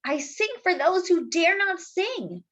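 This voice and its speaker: A woman's voice: very animated, takes it slowly, a bit bright, lo-fi sound, heavily acted. A woman voicing a brave, defiant little male rooster in a storybook, steadfast and declaiming. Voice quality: high crowing on its calls.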